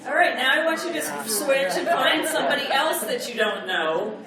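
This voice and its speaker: A woman's voice: sits high, expressive, moderate pace, crowd noise. A woman speaking on negotiation at a conference, leading the audience with call-and-response prompts. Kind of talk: conference keynote with audience exercise. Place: large conference hall. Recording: echoey hall, distant mic.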